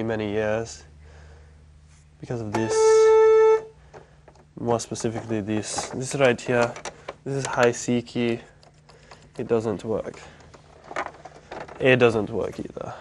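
A man acting as an eccentric young keyboardist giving a mockumentary interview indoors. He is solemn and self-important, delivering every line in an earnest, stilted deadpan at a medium pitch. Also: background noise. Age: young adult. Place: indoor lounge.

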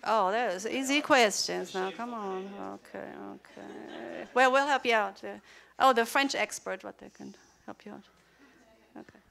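A woman's voice: medium pitch, vocal fry, a bit bright. A woman whose first language is German, giving a chatty conference workshop to teachers in a large lecture hall, quizzing the audience and laughing between points. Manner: chatty, laughing asides, quick questions to the audience.

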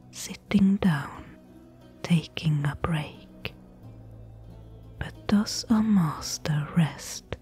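A woman playing a cautious adventurer in a soft-spoken ASMR roleplay, breathy, hushed and hesitant, speaking intimately. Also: deep voice. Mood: pondering, hushed. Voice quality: breathy, whispery. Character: cautious female adventurer exploring an ancient desert temple.

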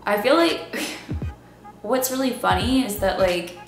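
A woman's voice: medium pitch, animated, unhurried. A woman from Maryland rambling casually to camera in a vlog, full of "like" fillers. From Baltimore area, Maryland. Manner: rambling, filler-heavy "like" talk. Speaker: vlogger. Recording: roomy echo with music behind.

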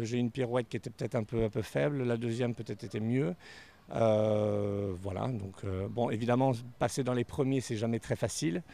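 A man in his forties with a gravelly edge to his voice talks haltingly, often repeating words while he searches for them. He is a dressage rider giving a post-competition interview outdoors at a stadium, speaking into a handheld reporter's mic. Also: mid-range voice, a little mellow.

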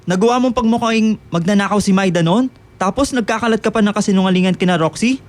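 Man acting fast, scornful, exasperated young man. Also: high voice, natural voice. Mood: angry scorn. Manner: accusing, blunt. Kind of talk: Filipino radio drama acting.

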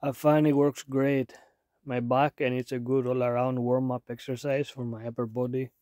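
A man's voice: medium pitch, moderate pace, dry recording. Tired, plain-spoken man in a dry room. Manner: relaxed, plain-spoken, many fillers and restarts. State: tired from little sleep. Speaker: home gym fitness vlogger. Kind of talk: casual narration over workout clips. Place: small room at home, indoors.

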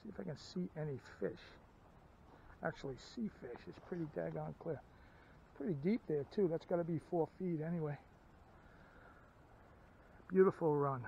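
A man's voice: on the high side, normal speed, creaky, pauses often, dark tone, lo-fi sound, slight background noise. A middle-aged man giving casual, folksy vlog commentary outdoors on a handheld camera, gushing with enthusiasm. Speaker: outdoors and ham radio vlogger. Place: outdoors, by a stream and at a parked car.

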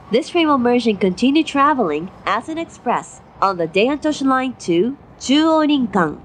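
A woman giving formal, measured railway announcements from a script. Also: a little high. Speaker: train announcer. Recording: noisy onboard train recording. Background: train running noise.

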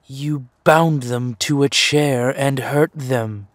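A man playing a vengeful, ruthless villain in an audio drama, with a husky voice and a deliberate, menacing, mocking delivery. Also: medium pitch, no room sound, natural voice. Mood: cold anger.

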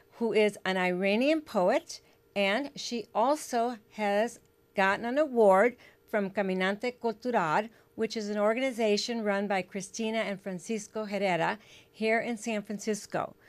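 A middle-aged woman hosting a local TV talk show, asking measured, leading questions into a desk mic in a small studio. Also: mid-range voice. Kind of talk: TV interview hosting.

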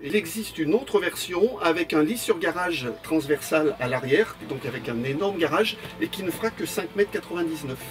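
A man with a fairly high voice explains a motorhome model in an interview, steady and matter-of-fact. He speaks inside the vehicle, and a faint music bed runs behind him. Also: moderate pace, hardly any pauses. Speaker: manufacturer representative.

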